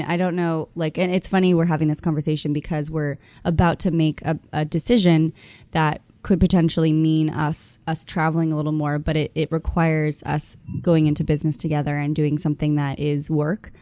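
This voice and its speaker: Woman podcast host, about thirty, with a low and mellow voice. Thoughtful and rambling, full of fillers. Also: level tone, a little fast, no room sound. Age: 30s. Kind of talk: casual conversation.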